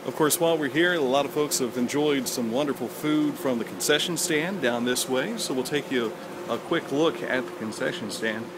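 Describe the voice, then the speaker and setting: A man's voice: mid-range voice, normal speed, noisy background. Chatty man giving a stadium walking tour. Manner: chatty, folksy, hometown pride. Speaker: local stadium tour guide. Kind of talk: handheld walking tour narration. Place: outdoor high school stadium. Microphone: handheld camera at arm's length.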